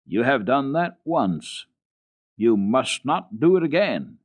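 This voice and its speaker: A man's voice: mid-range voice, very animated, takes it slowly. A man voicing a stern, easily outraged governess, scolding and commanding in a children's story reading. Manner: indignant, exclamatory, commanding.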